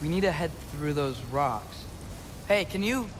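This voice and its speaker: A man's voice: on the high side, lively intonation, unhurried, noisy background. A young actor playing an earnest, plucky, slightly awkward teenage boy in a video game. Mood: tentative. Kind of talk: video game cinematic acting.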